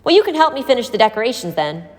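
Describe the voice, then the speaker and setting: A woman voicing a gentle, wistful, motherly woman who cares for street children in a storybook. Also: on the high side, very animated, very fast, voice pushed up. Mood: cheerful, asking. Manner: reminiscing, fond, motherly. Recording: echoey hall-like reverb.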